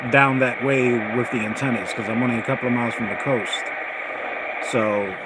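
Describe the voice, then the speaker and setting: A man hosting a casual radio hobby livestream, talking in a halting, rambling, folksy way. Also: mid-range voice, fairly even intonation. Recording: loud radio static and noise. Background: noisy shortwave radio signal.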